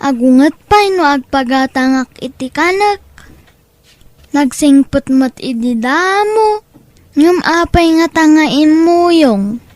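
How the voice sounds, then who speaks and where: Woman voicing a slow, plaintive Filipino little boy. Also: high voice, lively intonation, a little mellow, dry recording. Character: weary, homesick young schoolboy forced to sing for money. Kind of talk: Ilocano audio drama acting.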